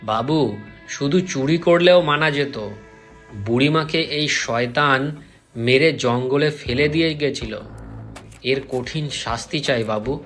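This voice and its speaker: A man's voice: mid-range voice, a little slow, long pauses, a bit bright, faint music behind, close to an everyday voice. A man voicing a righteous, combative village man in a cartoon, accusing and emphatic. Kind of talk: cartoon voice acting.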